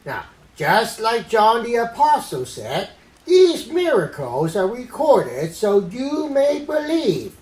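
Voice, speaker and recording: A performer voicing Uncle Ed, a silly, earnest orangutan puppet who teaches Bible stories to children in a deliberate way. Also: mid-range voice, huge pitch swings, a little mellow, roomy sound. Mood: earnest, teaching. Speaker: host of children's Bible story show. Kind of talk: children's puppet show presenting.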